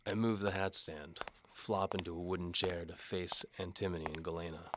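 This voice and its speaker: A man playing a cynical, world-weary knight-for-hire detective, narrating a hardboiled noir audio drama in a deadpan, wisecracking way. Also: deep voice, normal speed, background noise, lightly acted. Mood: weary, wry.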